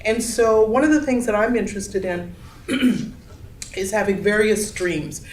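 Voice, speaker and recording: A woman artist and teacher speaking in a measured, articulate, explanatory way during a panel discussion. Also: mid-range voice. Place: gallery room, picked up from a distance. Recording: echoey room, distant mic, background noise.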